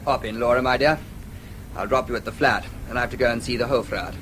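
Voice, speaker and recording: An actor playing a composed, protective brother in a radio drama, clipped and polite but firm. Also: male voice, medium pitch, fairly even intonation, fast, background noise, close to an everyday voice. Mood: brisk, concerned. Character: crisp, protective brother, a composed gentleman standing his ground.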